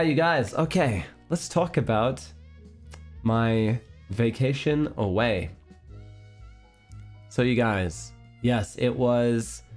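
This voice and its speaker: Man, casual streamer chat, rambling, restarts, soft laughs, average pitch.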